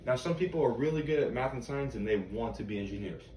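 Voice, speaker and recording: A man's voice: mid-range voice, expressive, fast, mellow, lightly acted. An American man playing an earnest motivational teacher, giving students a rambling, emphatic, colloquial monologue. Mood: urging, emphatic. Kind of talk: self-written monologue, motivational speech. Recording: echoey room, distant mic, background noise.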